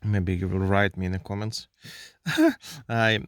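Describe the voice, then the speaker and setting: Man, Russian accent, second-language English, slow and halting with fillers; toy reviewer in a dry, close desk recording. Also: fairly low pitch, lively intonation, clean tone, no room sound. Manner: halting non-native English with many fillers. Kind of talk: hands-on unboxing review. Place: indoors at a desk.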